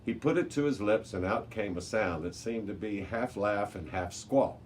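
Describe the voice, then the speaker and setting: A man's voice: fairly low pitch, moderate pace, darkish tone. A man reading a children's chapter book aloud as a storyteller, with the odd small stumble over the words. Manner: occasional stumbles and self-corrections while reading. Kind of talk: children's audiobook read-aloud. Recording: noisy amateur recording with background hiss.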